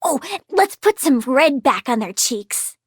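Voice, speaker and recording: A woman's voice: high-pitched, big pitch swings, a little slow, slightly rough, dry recording, studio quality, full character voice. A woman playing a bratty, morbid young girl plant villainess in a video game, with a childlike, sassy manner. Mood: gleeful, eager. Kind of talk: video game character voice acting.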